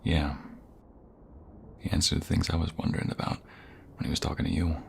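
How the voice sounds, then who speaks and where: A man playing a protective, tender husband in an ASMR roleplay, speaking softly and intimately, often near a whisper. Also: rumbling low, level tone, brisk, creaky, a little hiss or hum, heavily acted. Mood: gentle, sincere. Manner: soft, intimate, reassuring, often dropping to a whisper.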